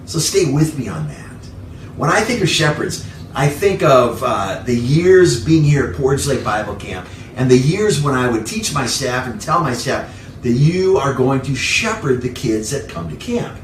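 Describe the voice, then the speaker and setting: A man's voice: medium pitch, sing-song, unhurried. A man giving an informal sermon as a pastor, conversational, repeating key phrases for emphasis. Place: living room at home. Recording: echoey room, distant mic, faint noise.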